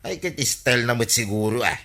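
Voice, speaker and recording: An earnest Ilocano village uncle in a radio comedy. His voice is bright and forceful, at an ordinary male pitch, and he talks like someone giving concerned advice. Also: expressive, fairly quick.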